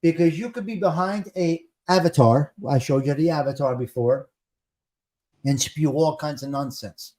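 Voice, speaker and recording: A man's voice: on the high side, moderate pace, warm tone. Plain-spoken New Jersey man hosting. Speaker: livestream host. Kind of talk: casual chat.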